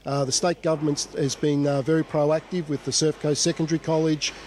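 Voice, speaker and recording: A man's voice: a little high, flat delivery, brisk, darkish tone, a little hiss or hum. A man making a formal announcement in an interview, official-sounding but hesitant. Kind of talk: formal on-camera interview statement.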